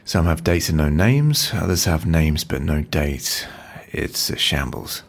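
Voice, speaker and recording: An actor playing an easygoing, sympathetic male office colleague, casual and gently probing in naturalistic audio drama dialogue. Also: extremely low pitch, animated, unhurried, heavily acted. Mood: casual concern.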